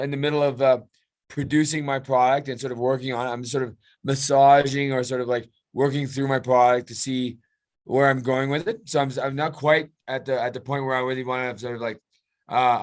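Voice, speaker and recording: A middle-aged man from Canada chats over a video call as a client. His voice sits at a middle pitch. He hesitates often, restarting sentences and filling gaps with fillers. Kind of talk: casual video call chat.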